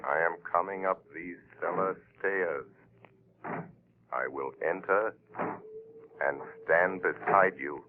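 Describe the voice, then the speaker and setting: Mysterious radio avenger, very low voice, slow and taunting, tinny 1930s broadcast, faint hiss. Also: male voice. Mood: menacing. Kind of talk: 1930s radio drama acting.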